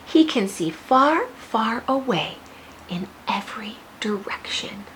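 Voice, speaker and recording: An American woman teacher reading a picture book aloud to young children, expressive, with questions and teaching asides. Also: a little high, slow, noisy background.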